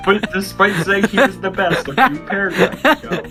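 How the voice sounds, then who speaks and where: A woman's voice: mid-range voice, moderate pace. Casual female co-reader with music behind. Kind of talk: casual script read-along with commentary.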